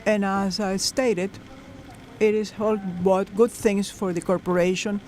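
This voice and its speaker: A man interpreting a conference panel into English live, halting, with many fillers and self-corrections. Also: high-pitched, flat delivery, darkish tone, a little hiss or hum. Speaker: simultaneous interpreter.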